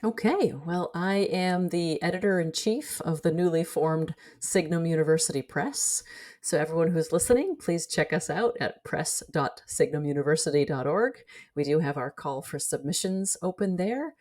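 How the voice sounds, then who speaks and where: Female academic guest, deep register, articulate, conversational interview delivery. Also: animated, normal speed, darkish tone. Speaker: academic guest, editor and teacher. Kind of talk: casual podcast interview.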